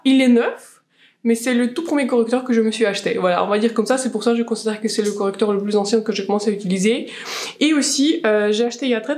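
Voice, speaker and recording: A woman's voice: a little high, darkish tone. Twenties woman, Russian accent, chatty beauty vlogger voice, casual and filler-heavy. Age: 20s. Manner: chatty, many fillers and restarts. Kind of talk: casual chat while doing makeup. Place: small room indoors, plain wall.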